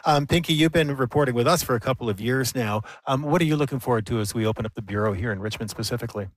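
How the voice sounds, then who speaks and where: Man, radio host, relaxed and chatty, dry studio sound. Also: mid-range voice, very fast, dry recording. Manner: relaxed, chatty, with many fillers. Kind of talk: live radio interview.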